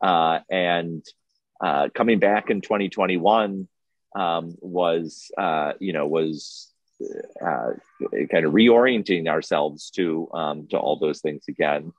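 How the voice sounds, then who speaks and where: A man giving a conversational interview answer as a guest, halting and searching for his words. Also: no echo. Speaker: film festival director, panel guest. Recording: muffled, boomy room sound.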